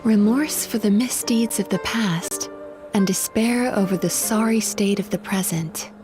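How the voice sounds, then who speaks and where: A woman voicing a gentle young dream-eating spirit in a video game, who works as a dream therapist and talks in a soft, polite, soothing way. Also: medium pitch, a little slow, over music, natural voice.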